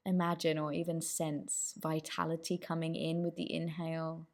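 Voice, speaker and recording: Low, creaky-voiced woman guiding meditation. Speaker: meditation guide. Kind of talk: guided meditation.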